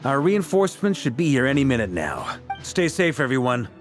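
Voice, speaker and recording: A male actor plays a confident, easygoing fantasy game commander. His mid-pitched voice moves with lively intonation as he muses and gives casual orders. Soft music sits faintly underneath. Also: a little fast, natural voice. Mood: assured, relaxed. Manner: casual, assured, musing. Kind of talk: video game voice acting.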